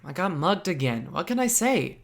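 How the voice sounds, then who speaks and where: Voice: medium pitch, normal speed. A performer playing a sarcastic, insecure teenage boy who narrates his would-be superhero life with wry self-mockery in a comic dub. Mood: evasive. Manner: wry, self-mocking, expressive. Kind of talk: first-person narration and comic dub acting. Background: music.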